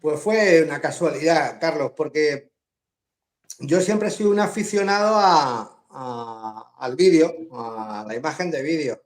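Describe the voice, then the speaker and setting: A man's voice: on the high side, expressive, a little slow. A middle-aged man from Madrid with a Spanish accent, chatting casually as a guest on a live video call and laughing often. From Madrid, Spain. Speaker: guest drone hobbyist. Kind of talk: casual live-stream interview.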